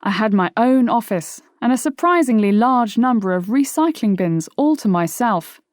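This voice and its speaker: A woman reading her own nonfiction audiobook in a measured, articulate way. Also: a little high, animated, darkish tone, dry recording, clean studio sound. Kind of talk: scripted audiobook narration. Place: dry studio.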